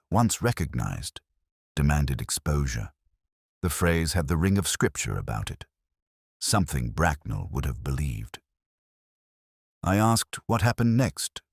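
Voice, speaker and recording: A man playing a melancholy detective who recalls a case in measured, literary first-person mystery narration. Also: very deep voice, husky, dry recording, studio quality, full character voice. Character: melancholy older male English police detective recalling a case. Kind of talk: scripted first-person mystery narration, radio drama style.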